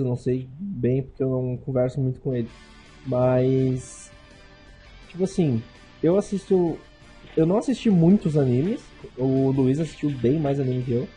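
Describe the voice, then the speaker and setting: A man's voice: mid-range voice, unhurried, dark tone, faint music behind. A young man co-hosting a casual podcast, talking emphatically and repeating himself. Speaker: podcast co-host.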